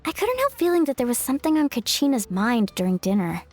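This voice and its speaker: An expressive, upbeat young woman from a video game, speaking. Her voice sits high and swings in melody as she fusses over her friends in a caring way. Faint music plays behind her. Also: fast. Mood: friendly, caring. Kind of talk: English video game voice acting.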